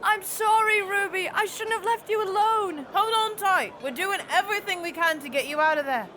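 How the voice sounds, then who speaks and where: Very high, bright young girl investigator. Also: moderate pace, slight background noise. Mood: anxious, remorseful. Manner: earnest, urgent. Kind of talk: audio drama acting.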